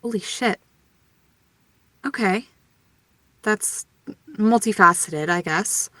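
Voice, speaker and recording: A woman's voice: mid-range voice, slow pace, pushed and bright, dry recording. A woman playing a flippant, theatrical young writer with a playful, sardonic manner in an audio drama. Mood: casual, wry. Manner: sardonic, playful, mock-grandiose.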